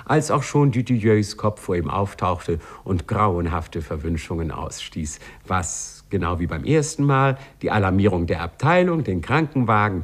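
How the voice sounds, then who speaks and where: A man's voice: on the low side, breathy edge. A man playing a sly, wry narrator who addresses the listener in a 1950s German radio play.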